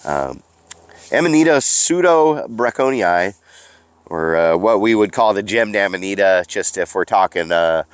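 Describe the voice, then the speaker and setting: A man's voice: lowish voice, animated, measured pace, clear, resonant tone, no echo. Stuffy-nosed man teaching in the woods. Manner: chatty, explaining as he goes, hesitant fillers. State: stuffy from allergies. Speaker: mycology educator and host. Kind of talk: casual outdoor teaching vlog. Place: outdoors in a forest.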